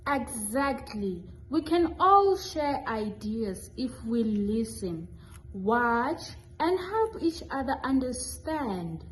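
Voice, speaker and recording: A woman's voice: on the high side, big pitch swings, slow pace, poor audio. A young adult woman giving scripted narration for a children's puppet show.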